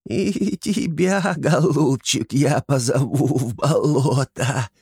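A man's voice: medium pitch, slow, a little mellow, slightly rough, no room sound, clean studio sound, pitched above the performer's own voice, exaggerated voice. A man putting on a thin quavering swamp hag's coo. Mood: eerie cooing. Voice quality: thin, quavering, nasal cooing. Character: creepy female swamp kikimora, cooing and lewd.

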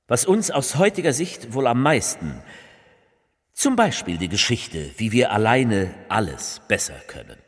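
An actor playing a solemn political orator, reciting rhetorical speech in a declamatory, deliberate way. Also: male voice, fairly low pitch, husky, big-room sound. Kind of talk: theatrical recitation of collaged speeches.

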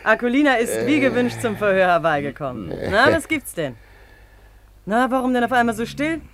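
An actress in a 1950s radio play voicing a brazen, teasing young washerwoman, sharp-tongued, mocking and overly familiar. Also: on the high side, sing-song, normal speed, a crowd in the background. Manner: mocking, familiar, cajoling with pet names.

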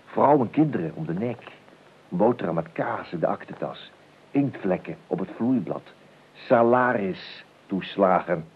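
An actor playing an idle, whimsical gentleman heir in a radio play, talkative and hesitant, repeating his words. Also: deep voice, animated, unhurried, breathy edge, full character voice. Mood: plaintive. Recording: muffled phone-like old radio recording, hiss.

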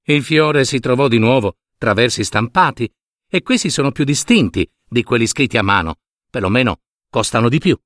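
Fairy tale narrator: man, mid-pitched, highly varied intonation, theatrical delivery with chatty asides. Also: expressive, normal speed, a bit bright, dry recording, studio quality. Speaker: storyteller.

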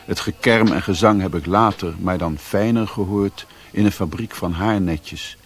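An actor playing a sombre, reflective narrator in a Dutch radio drama, reading literary prose deliberately. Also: male voice, sits low, breathy edge, faint music behind. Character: sombre male narrator recalling a sea voyage. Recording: noisy old radio play recording.

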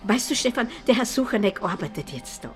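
A woman's voice: on the high side, lively intonation, fairly quick, soft background music, close to an everyday voice. A woman acting as a chatty, sentimental grandmother with an Austrian accent in a radio drama. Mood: surprised, friendly. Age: older.

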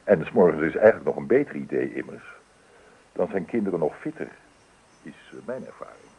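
An actor playing a genial, playful child specialist in a radio drama, with an easygoing, coaxing manner. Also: male voice, rumbling low, expressive, a little fast, mellow, husky, heavily acted. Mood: genial, reassuring.

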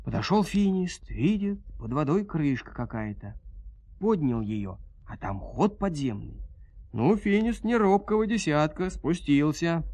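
A man narrating a dramatized fairy tale in an expressive, folksy storytelling manner. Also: fairly high pitch, unhurried, dry recording.

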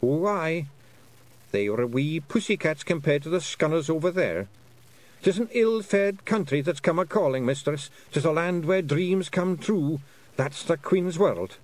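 A man's voice: a little high, animated, a little slow, voice pushed up. A man voicing a tiny, bold pictsy clan leader with a blunt, emphatic Scottish dialect, in a storybook reading. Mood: grave warning.

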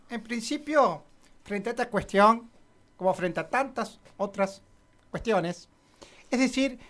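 A woman acts out a bureaucratic Argentine councillor in a satirical radio play. The voice is fairly high, and the talk is evasive, wordy officialese, hesitant and self-serving.

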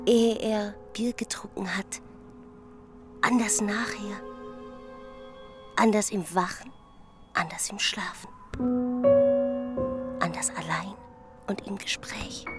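Actress doing whispery musing woman in a radio play, slow broken phrases, breathy, music loud behind her. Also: medium pitch, close to an everyday voice. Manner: slow, fragmentary, incantatory. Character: hushed female voice musingly listing a shifting image.

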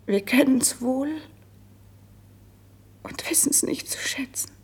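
An actress playing a noble, lovesick young princess in a classical verse radio play, declaiming solemnly and wistfully in a hushed, breathy voice. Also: female voice, sits high, in a whisper, heavily acted. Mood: resigned longing. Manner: solemn, wistful, drawn-out poetic phrasing.

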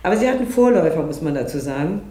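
A woman's voice: low voice, sing-song, rapid-fire, roomy sound. A middle-aged woman from East Germany explaining her work thoughtfully, as in a documentary interview. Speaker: founder of an inclusive theater group.

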